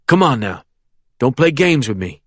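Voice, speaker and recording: A man voicing a threatening young man who speaks in slow, drawling threats. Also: mid-range voice, big pitch swings, dry recording, clean studio sound. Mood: cold. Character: menacing young Southern man, cold and threatening.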